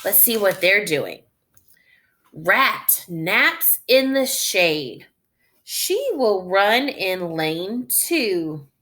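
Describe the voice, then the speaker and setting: A woman reading a children's story aloud like a teacher, thinking out loud and guiding young listeners in a deliberate, instructional way. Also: medium pitch, sing-song.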